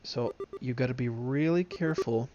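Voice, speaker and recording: Male tutorial presenter, informal, step-by-step, mid-pitched, faint noise behind. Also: moderate pace, dull audio. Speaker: demonstrator. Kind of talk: casual software tutorial. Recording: clear background noise of simulator ambience. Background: flight simulator background sounds.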